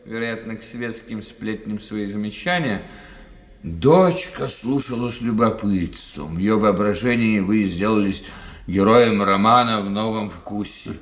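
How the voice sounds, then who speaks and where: An actor playing a witty, sceptical society doctor in a radio play, gossiping in a wry, theatrical way. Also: male voice, on the low side, unhurried, close to an everyday voice. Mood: animated reporting. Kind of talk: radio drama acting.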